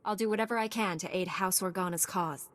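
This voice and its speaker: An actress playing a calm, resolute female knight in a video game, speaking in a firm, matter-of-fact way. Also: medium pitch, fairly quick, lightly acted.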